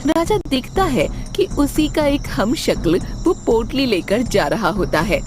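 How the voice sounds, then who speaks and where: A woman's voice: on the high side, sing-song, takes it slowly, background noise. A woman narrating a scripted moral story for a cartoon as its storyteller.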